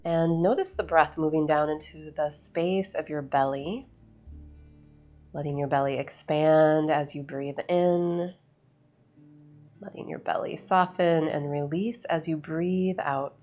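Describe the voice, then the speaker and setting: A woman with a low voice guides a meditation over background music. She speaks slowly and soothingly, setting out each phrase in a measured way and leaving long pauses between them. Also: steady tone, a little mellow.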